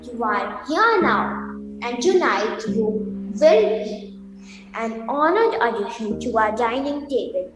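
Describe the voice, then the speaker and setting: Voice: medium pitch, big pitch swings, slow pace. An actor playing a suave, menacing vampire count with a grandly courteous manner in a radio play. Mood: suave, ominous. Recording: heavy echo with music behind.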